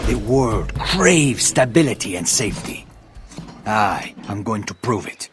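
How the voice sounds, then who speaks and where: A man's voice: on the low side, sing-song, measured pace, music in the background. An actor playing a grandiose male villain in a battle suit, delivering pompous, megalomaniac speeches in a video game cutscene.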